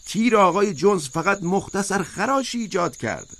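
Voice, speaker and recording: A man's voice: fairly high pitch, a bit bright, pitched above the performer's own voice. A man voicing a sly, fervent propagandist pig in a storybook, speaking in an emphatic, insistent, oratorical way. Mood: insistent, accusing. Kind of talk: audiobook character voice.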